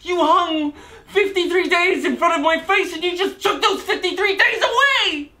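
A man acting a bitter, defiant prisoner, bright-voiced. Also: fairly high pitch, lightly acted. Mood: furious. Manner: halting, repeats words, building from subdued to shouting. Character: bitter, defiant male prisoner addressing the court at sentencing. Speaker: actor performing a film monologue. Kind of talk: dramatic courtroom monologue.

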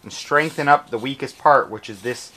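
A man's voice: mid-range voice, normal speed, sharp tone, poor audio. Man, thirties, casual workshop talk, practical, thinks aloud. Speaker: garage DIY channel host. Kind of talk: casual hands-on product review. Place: cluttered home garage workshop.

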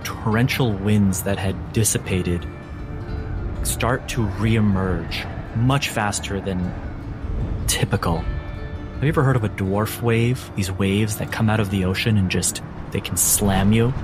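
Male game master, lowish voice, deliberate scene-setting narration over music. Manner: measured, descriptive, with dramatic pauses.

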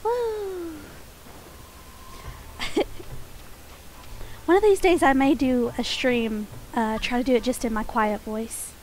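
A woman streaming a game, chatting casually and softly, a little nasal, her voice pitched up, with small laughs between remarks. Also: takes it slowly, pauses often, a little hiss or hum. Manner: soft-spoken, chatty, frequent small laughs; voice pitched up from her natural register.

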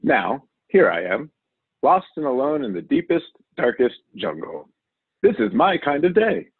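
A man voicing a wild, overconfident male TV survival show host from a children's storybook, excitable and showy. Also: mid-range voice, measured pace, husky, no room sound, pitched above the performer's own voice, heavily acted. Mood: boastful, excited. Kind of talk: children's storybook character reading. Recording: narrow, phone-like audio.

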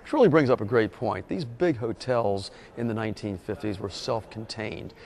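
A man guiding a walking tour and explaining local history in a measured, informative way. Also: on the low side, darkish tone. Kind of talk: scripted walking-tour narration. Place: outdoors on a beach boardwalk, later a hotel lobby.

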